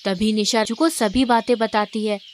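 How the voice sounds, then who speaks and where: A woman's voice: a little high, steady tone, moderate pace, background noise. A woman reading scripted story narration.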